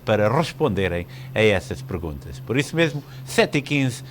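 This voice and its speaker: Courteous Portuguese talk host, noisy backdrop. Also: male voice, fairly low pitch, sing-song, moderate pace. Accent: European Portuguese. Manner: courteous, chatty, sprinkles in English words. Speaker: call-in show host. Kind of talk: live phone-in talk show hosting.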